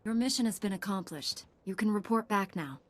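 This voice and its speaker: An actress playing a composed, confident young Jedi knight in a video game, speaking with assurance. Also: female voice, mid-range voice, a little fast, natural voice. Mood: composed confidence. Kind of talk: video game dialogue acting.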